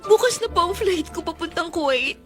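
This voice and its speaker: High-voiced, tearful pleading young mother. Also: lively intonation, a little fast. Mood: anxious pleading. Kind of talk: melodramatic Filipino radio drama acting. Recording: music bed under the voice.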